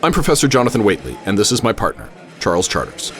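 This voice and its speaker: An actor playing a witty, grandiose American professor and adventurer, speaking in flowery, theatrical phrases in a radio drama. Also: male voice, fairly low pitch, wildly swooping pitch, fast, fairly bright tone. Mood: cordial. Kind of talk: radio drama acting and first-person narration.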